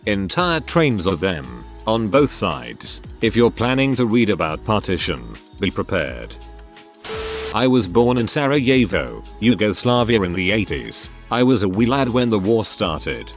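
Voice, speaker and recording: Guy reading out stories, kinda low voice, steady and even, soft music under it. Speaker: narrator reading online posts. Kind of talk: scripted narration.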